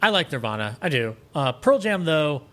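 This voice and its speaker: A man's voice: a little high, sing-song, moderate pace, fairly bright tone. A man chatting casually as a podcast guest, rambling enthusiastically and voicing his own thoughts aloud. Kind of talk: casual chat.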